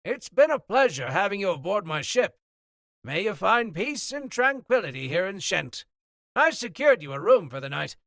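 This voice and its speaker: A man voicing a courteous ship captain who speaks loudly and formally. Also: high voice, big pitch swings, moderate pace, creaky, no room sound, pitched above the performer's own voice, heavily acted. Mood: hearty. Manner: loud, gracious, formal.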